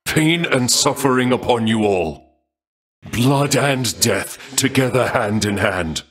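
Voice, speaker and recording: A man's voice: medium pitch, lively intonation, slow, fairly bright tone, slightly rough, exaggerated voice. A man voicing a brutal, bloodthirsty god of war, speaking with grim, growling menace. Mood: menacing. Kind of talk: video game voice lines.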